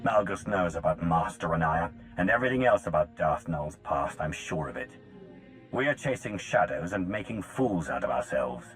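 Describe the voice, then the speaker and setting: A haughty commander in a game cutscene, deep-voiced and demanding. His pitch swings widely as he talks, proud and impatient. Faint music sits behind him. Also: lively intonation, normal speed, fairly bright tone, poor audio. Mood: scornful, impatient. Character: proud, impatient, commanding man of high status. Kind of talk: video game cutscene dialogue.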